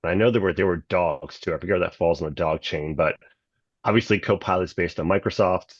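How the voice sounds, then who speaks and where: A man with a deep voice gives casual, off-the-cuff answers as a group participant. The audio is dull and lo-fi, with very little room sound. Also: a little fast, no room sound.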